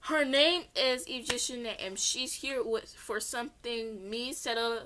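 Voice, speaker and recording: A woman's voice: a little high, a little slow, very noisy. A woman reading a story aloud as an amateur, giggly, often stumbling over words and correcting herself. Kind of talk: read-along narration with character voices.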